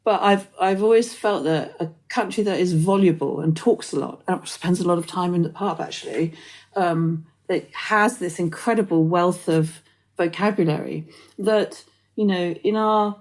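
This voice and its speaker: Low-voiced Englishwoman hosting a chat. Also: moderate pace. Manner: thoughtful, expansive, musing aloud. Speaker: podcast host. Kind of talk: conversational interview.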